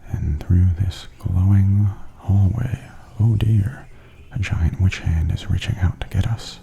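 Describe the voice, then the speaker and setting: A man giving softly spoken, gently wry ASMR commentary as a tour guide, in a hushed, breathy half-whisper. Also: sits low, slow pace, slight background noise. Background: theme park haunted attraction ambience.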